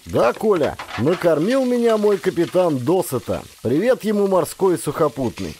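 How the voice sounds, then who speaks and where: A talkative ex-sailor cook from an audiobook, acted out by a male narrator, speaking in a raised, swinging pitch. He is simple-hearted and folksy, grumbling about his lot with rueful humor. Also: very animated, moderate pace, warm tone, slight background noise. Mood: wry grumbling. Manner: folksy, colloquial, complaining with humor. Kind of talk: character dialogue in an audiobook.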